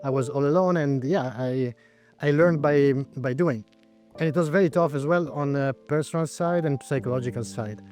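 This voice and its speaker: A man's voice: medium pitch, moderate pace, a little mellow, faint music behind. A man in his forties from Italy, speaking with an Italian accent as a startup founder answering interview questions, thoughtful, with frequent hesitations. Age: 40s.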